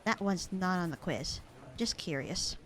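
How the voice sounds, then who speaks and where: A woman playing a bored, sarcastic, slightly flirty secretary in a casual ASMR audio roleplay, with a dry, deadpan, teasing delivery. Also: on the low side, a little slow, a crowd in the background. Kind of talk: ASMR roleplay acting, casual one-sided conversation.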